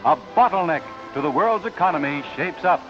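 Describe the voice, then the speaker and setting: American male newsreel narrator, 1940s, slightly high voice, declamatory, muffled old soundtrack. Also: animated, brisk. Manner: formal, declamatory announcer delivery. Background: music.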